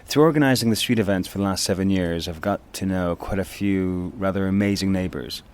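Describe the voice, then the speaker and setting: A man's voice: sits low, fairly quick, smooth. A man presenting a documentary about his street, narrating in an earnest, upbeat way. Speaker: presenter and street event organizer.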